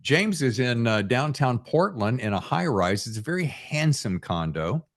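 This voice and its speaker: A man's voice: mid-range voice, big pitch swings, normal speed. Casual, chatty male podcast host. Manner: jokey, informal, wandering asides.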